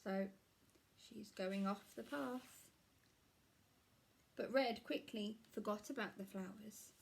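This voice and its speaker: A woman in her thirties, a primary teacher reading a story aloud to children in a measured, explanatory way, recorded in a small room. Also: medium pitch, vocal fry, a little hiss or hum. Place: small room at home, indoors.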